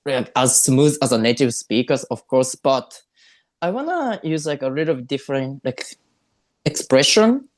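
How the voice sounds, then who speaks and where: A woman's voice: extremely low pitch, lively intonation, measured pace, a little mellow. A woman in her twenties with a Japanese accent, a guest chatting cheerfully in a casual podcast and laughing often. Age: 20s.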